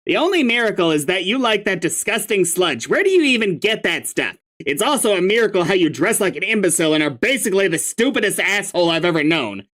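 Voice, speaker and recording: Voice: mid-range voice, big pitch swings, fast, few pauses, fairly bright tone, pitched above the performer's own voice. A reader voicing an irritable young male troll who rants and hurls insults in exasperation. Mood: irritated. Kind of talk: reading a webcomic aloud with voices.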